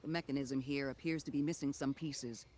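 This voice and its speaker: A calm, analytical robot companion voiced by a man, clipped and exact in his phrasing as he guides the player through a game. His pitch sits in an ordinary middle range. Faint ambient game sound hums behind him. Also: slight background noise, natural voice. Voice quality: measured, clipped and precise. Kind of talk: video game voice acting.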